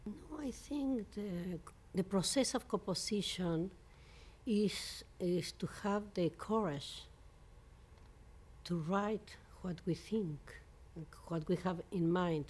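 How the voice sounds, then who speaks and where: A woman from Mexico speaking English with a Mexican Spanish accent as a non-native speaker, giving an interview as a composer in halting English full of fillers and restarts. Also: lowish voice, darkish tone, breathy edge. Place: venue in New York.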